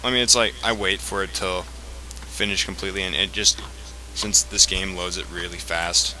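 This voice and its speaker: A man's voice: deep voice, fairly even intonation, moderate pace, bright, forceful tone, poor audio. A man giving casual, offhand commentary as host of a video game playthrough. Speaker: let's play host. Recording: faint background noise.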